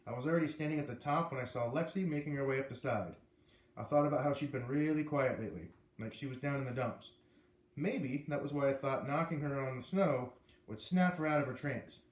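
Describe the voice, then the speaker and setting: Male storyteller, mid-pitched, expressive read-aloud of a children's novel, echoey room. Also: brisk.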